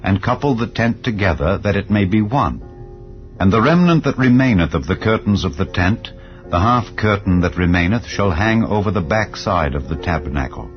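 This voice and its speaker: A man reading scripture as a narrator in a solemn, measured, formal and declamatory way. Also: lowish voice, lively intonation. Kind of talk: solemn dramatized Bible reading. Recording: slightly dull audio with background music. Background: soft background music.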